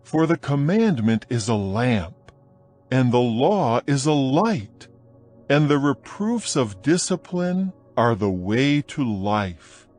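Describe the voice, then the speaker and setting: A man reading scripture aloud in a slow, measured, solemn dramatized narration. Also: medium pitch, faint music behind. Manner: slow, measured, solemn recitation with lively rises on appeals. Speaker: Bible audio narrator.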